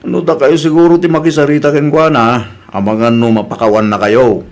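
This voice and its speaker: A man's voice: medium pitch, flat delivery, a little fast, close to an everyday voice. A man playing a preachy, peacemaking husband and son in an Ilocano family comedy drama. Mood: calm, concerned.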